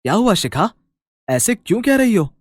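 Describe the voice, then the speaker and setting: A man's voice: high voice, very animated, moderate pace, no room sound, clean studio sound, voice pushed up. Man playing a hearty, affectionate farmer brother. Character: humble village farmer elder brother, affectionate and forgiving. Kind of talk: animated moral story character dialogue.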